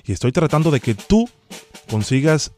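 A man's voice: fairly high pitch, sing-song, normal speed, over loud music. Man posing as formal, officious immigration officer. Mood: persuasive. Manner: formal, officious, repeats the name. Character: fake immigration officer, formal, bureaucratic, sternly polite man. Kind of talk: prank phone call, official questionnaire.

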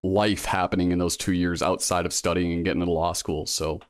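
A man's voice: sits low, level tone, a little fast, dry recording, studio quality. A man in his thirties, a guest in a casual interview, talking in a reflective, rambling way with many fillers and restarts. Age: 30s. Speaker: guest, law school applicant.

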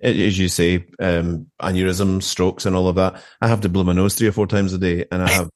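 A man's voice: deep voice, level tone, fairly quick, a little mellow, dry recording, studio quality. A middle-aged British man chatting casually as an interview guest, telling stories with emphatic repetition and colloquial asides. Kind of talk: casual conversation, anecdotal.

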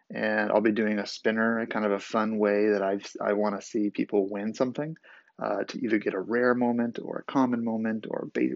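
A man hosting a casual solo podcast with a raspy, groggy voice, rambling with fillers and restarts. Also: lowish voice, no room sound, muffled recording. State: groggy after a vaccine. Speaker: podcast host.

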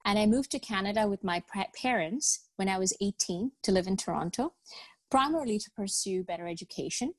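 A woman's voice: medium pitch, lively intonation, fairly quick, no echo. A middle-aged woman from Bangladesh, raised in the UAE, answering questions as a podcast guest. She speaks thoughtfully, with many fillers and repeated words. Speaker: guest, business professional. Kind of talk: podcast interview.

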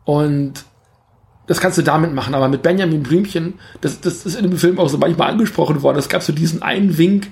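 A podcast co-host speaks. The delivery is casual film-review chat that rambles along, as if thinking out loud. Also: mid-range voice, brisk, gravelly.

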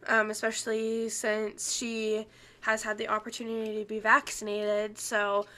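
Stuffy girl chatting on a podcast, laughs a lot, says um constantly, bright sharp voice. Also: on the high side, completely flat delivery, measured pace. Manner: chatty, laughs often, many ums. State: stuffy, congested from illness. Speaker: podcast co-host. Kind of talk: casual chat.

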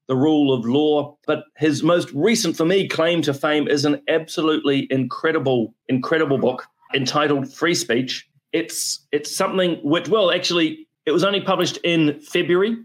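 A man's voice: on the high side, moderate pace, hardly any pauses. A man with a New Zealand accent hosting an interview, introducing his guest with effusive enthusiasm. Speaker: podcast host.

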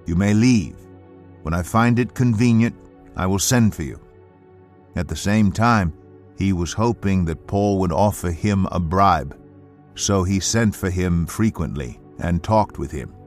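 A man with a fairly low voice narrates scripture in a measured, emphatic way. His delivery is solemn and dramatic, as in an audiobook, with faint music underneath. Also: a little mellow. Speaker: Bible narrator.

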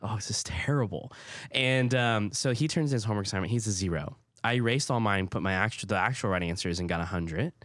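A young man talks candidly on a podcast. His voice sits very low, and he keeps dropping "like" between thoughts as he tells personal stories. The close, dry mic leaves no room sound. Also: fast talker, hardly any pauses, a bit bright, no room sound. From Hawaii. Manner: candid, filler-heavy storytelling with frequent "like". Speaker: podcast co-host. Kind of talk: casual chat.

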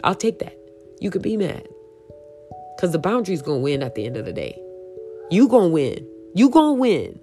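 Raspy low-voiced woman hosting a podcast, repeats herself for emphasis, soft guitar behind. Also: big pitch swings, moderate pace, long pauses. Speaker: podcast host. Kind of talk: casual solo podcast talk.

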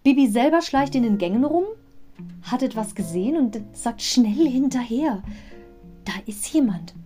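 High, mellow-voiced woman podcaster. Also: animated, moderate pace, soft background music. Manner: chatty, digressive. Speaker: podcast host. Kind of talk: casual retelling with commentary.

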